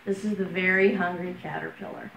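A middle-aged woman, a preschool teacher talking and reading a picture book aloud to young children in an expressive, deliberate way. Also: on the low side, creaky, muffled recording. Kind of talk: picture-book read-aloud with chatty announcements. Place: home room at a wooden table, indoors. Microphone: camera across the table. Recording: roomy, distant mic, background room noise.

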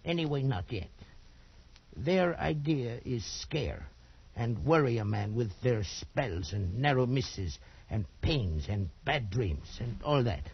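An actor playing a nervous, slyly dismissive Portuguese trader with a Portuguese accent, a husky gravelly voice and hesitant broken English. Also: male voice, mid-range voice, lively intonation, takes it slowly, exaggerated voice. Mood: wry, ominous. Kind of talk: 1940s radio acting. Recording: dull old radio recording.

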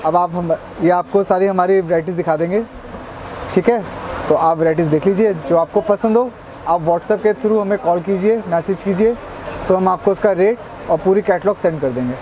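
A man's voice: high voice, moderate pace. Man in thirties, shop owner, hesitant, fillers, noisy shop. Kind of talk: casual business explanation. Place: small shop indoors.

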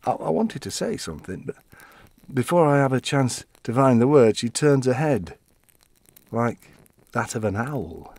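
An old widower confiding his story in a radio-play monologue. His voice sits at a medium pitch, gentle and wistful, with a fussy defensive streak. He pauses and restarts as he reflects, recorded close with little room sound. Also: creaky, no echo, full character voice. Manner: reflective, halting storytelling with animated quoting.

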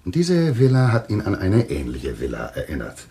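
An actor playing a composed, authoritative doctor in a German radio thriller, speaking deliberately and insistently. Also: male voice, deep voice, wildly swooping pitch, warm tone. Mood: explaining. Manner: deliberate, explanatory, emphatic. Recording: slight background noise with clicks.

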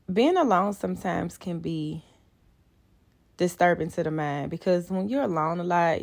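Young woman trainer rambling in her car, kinda low and gravelly, talks to y'all. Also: a little mellow, dry recording. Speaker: fitness trainer and vlogger. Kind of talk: casual vlog talk to camera. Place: in a parked car.

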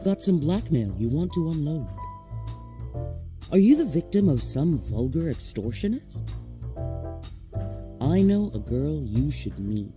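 A man's voice: fairly high pitch, sing-song, a little slow, long pauses, dark tone, soft background music. A man playing a suave, teasing announcer introducing an old-time style radio detective drama.

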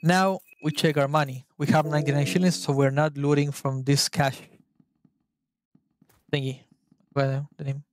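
A man's voice: a little high, little pitch movement, moderate pace, pauses often. Man. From Argentina. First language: Spanish. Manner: chatty, searches for words, swears at the game. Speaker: gaming YouTuber. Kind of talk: gameplay guide commentary.

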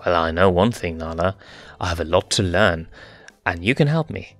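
A man's voice: sits low, expressive, moderate pace, fairly bright tone, soft background music, voice pushed down. A man voicing a playful, hapless young male lion cub in an amateur comic dub, stammering and hesitant. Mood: earnest. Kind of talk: amateur comic fandub acting.

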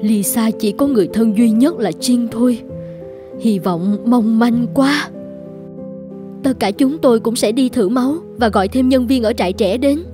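A woman's voice: a little high, moderate pace, music in the background, natural voice. A woman voicing a kindly, compassionate orphanage carer in a cartoon, with a sympathetic, worried manner. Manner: sympathetic, caring. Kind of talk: animated children's story dubbing.

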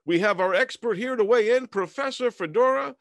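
A man's voice: very high-pitched, brisk, no echo. A man playing a polite, earnest news anchor in a comedy parody, speaking in a formal, agreeable, mock-serious way. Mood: announcing. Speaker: news host.

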